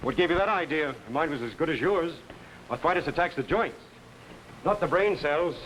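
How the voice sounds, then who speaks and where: A man acting as a blunt, skeptical doctor in a 1950s television mystery, brisk and dismissive. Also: on the high side, lively intonation, a bit bright. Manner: brisk, dismissive, matter-of-fact. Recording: noisy old 1950s television soundtrack.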